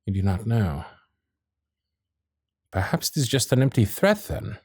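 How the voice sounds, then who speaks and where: A man playing a courteous, protective Victorian gentleman suitor in a romantic period ASMR roleplay, with formal, old-fashioned phrasing and dramatic pauses. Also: lowish voice, big pitch swings, breathy edge, dry recording, studio quality, exaggerated voice. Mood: concerned, intrigued. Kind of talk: romantic period ASMR roleplay, intimate one-sided dialogue.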